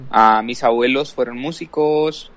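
Male podcast guest, medium pitch, unscripted personal storytelling, hesitant delivery. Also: measured pace, a bit bright. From El Paso, Texas, USA. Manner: hesitant, thinking aloud. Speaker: podcast guest, worship leader.

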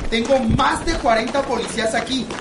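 A woman's voice: fairly high pitch, normal speed, background noise, lightly acted. A woman playing a defiant, demanding president held captive in an amateur radio drama. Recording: echoey, distant, loud music behind.

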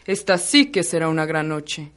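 A woman's voice: low-pitched, lively intonation, moderate pace, background noise. A woman playing a polite young son of a wealthy family in a radio soap opera. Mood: eager, courteous.

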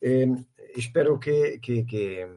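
A man's voice: mid-range voice, takes it slowly, warm tone. A Portuguese man, a guest academic, talking in a hesitant conversational way, often restarting and slipping into Portuguese words.

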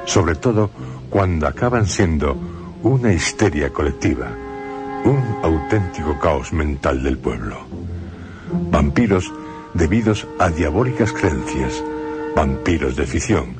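Deep-voiced man narrating a radio tale. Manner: measured, solemn storytelling. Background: music.

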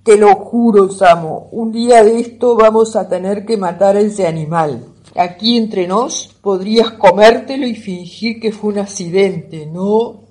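A woman's voice: medium pitch, measured pace, lightly acted. A woman in amateur radio theatre playing a stressed, irritable young man who grumbles in exasperation. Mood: conspiratorial grumbling. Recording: audible background noise.

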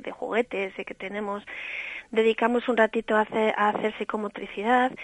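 A woman's voice: medium pitch, no echo, rough recording. A woman raised in Australia, director of an early stimulation center, explaining her methods in a radio interview with a patient, teacherly manner. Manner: explanatory, warm teacherly tone with diminutives.

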